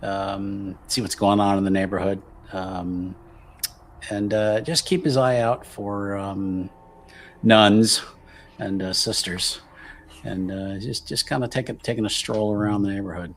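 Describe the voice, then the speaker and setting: Slow, halting man with a lowish voice. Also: steady tone, a little hiss or hum. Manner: halting, thinking aloud. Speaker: tabletop RPG player. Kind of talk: casual improvised game talk.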